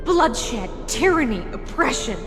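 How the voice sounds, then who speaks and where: A woman's voice: high-pitched, very animated, unhurried. A woman playing an indignant, morally outraged challenger to a tyrant in a video game, earnest and accusing in formal phrases. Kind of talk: dramatic video game voice acting. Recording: long reverb under game music.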